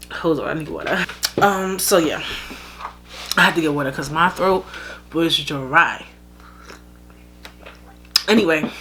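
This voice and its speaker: A woman's voice: mid-range voice, a bit bright. A young woman from the United States hosting a podcast, talking casually and frankly to her listeners, with plenty of fillers and asides. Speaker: podcast host. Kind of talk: casual solo commentary.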